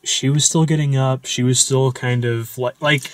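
A man's voice: mid-range voice, fairly even intonation, moderate pace, no room sound. A young man chatting casually about a film in a parked car at night, talking loosely with many fillers and restarts. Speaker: movie discussion host.

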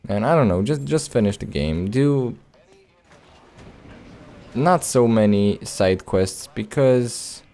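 A man's voice: mid-range voice, lively intonation, takes it slowly, dark tone. A man doing casual gameplay commentary with a hesitant, rambling, playful delivery. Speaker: let's play host.

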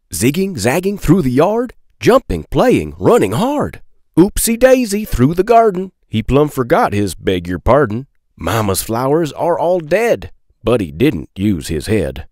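A man in his thirties reading a children's storybook aloud with playful, expressive emphasis. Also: medium pitch, no echo, studio quality. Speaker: read-aloud host.

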